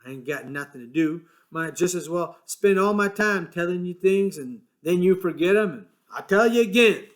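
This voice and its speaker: A man voicing a small, irritable ranch hand who talks gruffly, swears and sounds fed up. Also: sits high, lively intonation, moderate pace, hardly any pauses, voice pushed up. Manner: gruff, exasperated, swearing, rough rural speech.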